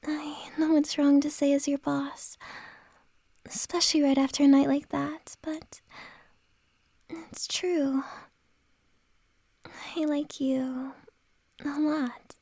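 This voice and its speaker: A woman voicing a flustered lady boss in an ASMR roleplay, whispering softly and haltingly close to a dry, close mic. Her voice sits high, mellow and unhurried. She pauses often between words. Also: level tone, no echo. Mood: tender, flustered. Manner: soft whispering, hesitant and halting.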